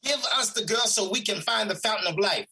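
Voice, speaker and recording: Woman acting menacing, raspy villain emotion. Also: mid-range voice, fairly quick, sharp tone. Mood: threatening. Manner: threatening, insistent. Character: menacing, demanding personified negative emotion, hostile gang member. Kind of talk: audio drama villain acting.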